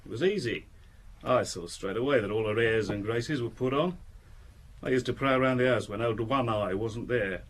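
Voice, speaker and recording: An actor playing a cocky crook in a radio detective drama, blunt, boastful and offhand. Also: male voice, mid-range voice, moderate pace, close to an everyday voice. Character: brazen, cocky male crook, a fence for thieves.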